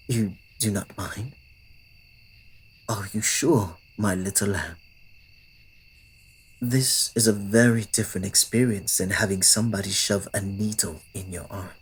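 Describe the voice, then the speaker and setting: A man playing a courtly, smitten old-world vampire in an intimate ASMR roleplay, with formal phrasing that often slips into breathy whispers. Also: fairly low pitch, heavily acted. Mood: gentle, earnest. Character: courtly, old-fashioned adult male vampire, yearning, polite, smitten. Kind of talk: ASMR roleplay audio drama addressed to the listener.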